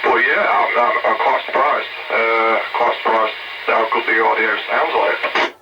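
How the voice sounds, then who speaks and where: A man's voice: medium pitch, fairly even intonation, measured pace, bright, forceful tone, recorded from a distance. A man with an English accent chatting casually as a radio hobbyist, heard through a CB radio transmission. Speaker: CB radio hobbyist helping with a test. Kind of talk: casual two-way radio chat. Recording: muffled, very noisy CB radio reception.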